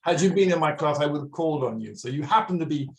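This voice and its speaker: A man's voice: on the high side, quick pace, a crowd in the background. A man in his sixties presenting a workshop over a video call, telling stories and asking his audience questions. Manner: animated, storytelling, asks the audience directly. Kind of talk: online workshop talk.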